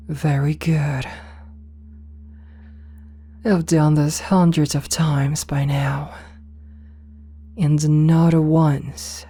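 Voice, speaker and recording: A woman acts out a seductive, domineering lady CEO for an ASMR roleplay. Her voice is low, dark and mellow, soft and breathy to the edge of a whisper. She talks slowly and hypnotically, coaxing the listener with condescending, possessive affection. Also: full character voice. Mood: hypnotic, coaxing. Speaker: voice actor in an audio roleplay. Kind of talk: ASMR roleplay, intimate slow address to listener.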